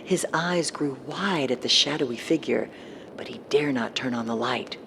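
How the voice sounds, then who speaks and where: A woman's voice: low-pitched, normal speed, vocal fry, a bit bright, slight background noise. A woman narrating a scripted horror story in a storytelling style. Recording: music behind the narration.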